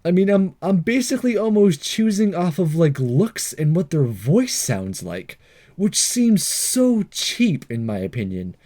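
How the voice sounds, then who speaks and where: Lively, higher-pitched man commentating a game. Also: a little slow. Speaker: let's-play host. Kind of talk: casual game commentary, reading text aloud.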